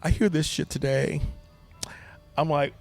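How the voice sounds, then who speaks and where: A middle-aged man with a deep voice, speaking candidly and emphatically as a podcast guest. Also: unhurried, creaky, soft background music. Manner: animated, emphatic storyteller. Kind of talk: candid conversation.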